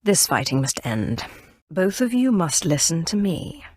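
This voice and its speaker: An actress playing a commanding, cool-headed Sith sorceress in a video game, haughty yet idealistic, speaking in a poised, measured, imperious way. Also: female voice, on the low side, sing-song, a little mellow, breathy edge, no room sound, clean studio sound, heavily acted. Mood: earnest, firm. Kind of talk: scripted video game dialogue acting.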